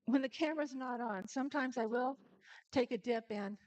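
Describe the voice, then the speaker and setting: Chatty higher-voiced woman, dry sound. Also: normal speed, darkish tone, no room sound, rough recording. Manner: chatty, talks straight to viewers, laughs easily. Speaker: cooking stream host. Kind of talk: casual live-stream cooking demo.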